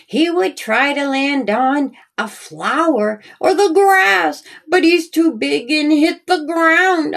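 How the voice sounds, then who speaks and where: A woman reading a children's story aloud as a storyteller, in a deliberate, expressive, sing-song manner. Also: high voice.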